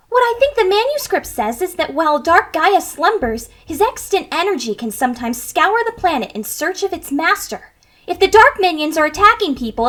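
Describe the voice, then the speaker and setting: A woman's voice: very high-pitched, pushed and bright, full character voice. A woman playing an earnest, insistent young girl hedgehog in an audio drama. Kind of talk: audio drama character acting.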